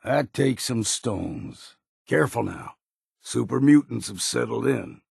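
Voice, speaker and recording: An actor playing a grizzled old hard-drinking islander in a video game, with a gravelly, husky voice and a wry, folksy drawl. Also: male voice, mid-range voice, animated, measured pace, darkish tone, no echo, studio quality, exaggerated voice. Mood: wry, easygoing. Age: older. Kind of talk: video game character voice lines.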